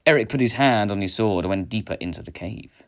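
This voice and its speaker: A man reading aloud a children's adventure story in an expressive narrating style. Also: on the low side, fairly quick. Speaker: story reader.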